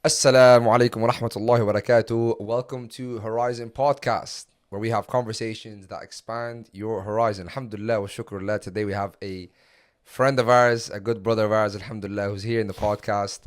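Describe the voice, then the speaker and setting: A man's voice: fairly low pitch, steady tone, a little fast. A man hosting a podcast who greets his guest courteously and asks friendly questions. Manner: warm and courteous, uses Islamic greetings. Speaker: podcast host. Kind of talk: scripted intro then casual interviewing.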